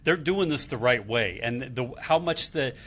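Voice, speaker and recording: A man's voice: medium pitch, animated, moderate pace, a bit bright. A man with an American accent offers casual analysis on a sports panel. His voice sits in the middle range as he talks through teams and schedules.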